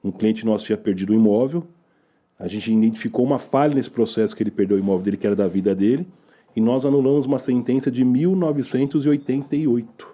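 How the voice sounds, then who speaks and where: A man's voice: mid-range voice, fast talker, dark tone. A middle-aged Brazilian lawyer, a man, chatting as a guest, teasing his host and explaining his work at length. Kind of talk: casual interview chat.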